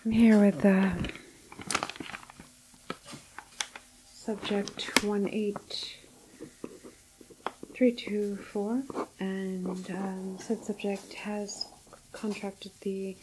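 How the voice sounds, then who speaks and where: A female doctor played by a woman dictates a grim medical log in an ASMR roleplay. Her voice is fairly low and even, with little rise or fall. She speaks very slowly and haltingly, softly slipping toward a whisper, with clinical detachment. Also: a little hiss or hum, low-quality recording. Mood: clinical, grave. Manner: soft, often half-whispered, halting, detached clinical delivery. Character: clinical female doctor logging a doomed quarantined patient.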